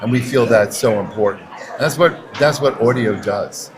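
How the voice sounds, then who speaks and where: A man's voice: mid-range voice, normal speed, rough recording. A man in his sixties speaking earnestly and conversationally as a guest in a podcast interview. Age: 60s. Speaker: interview guest, advertising agency chief executive. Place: podcast booth at a busy conference. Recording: crowd chatter around the voice. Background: crowd chatter.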